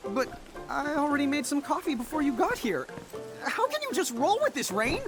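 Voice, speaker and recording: A goofy, excitable young American guy in a game cutscene. He sounds clueless and swings widely in pitch over clear music. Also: moderate pace, exaggerated voice, low-quality recording. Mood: exasperated. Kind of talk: animated game cutscene acting.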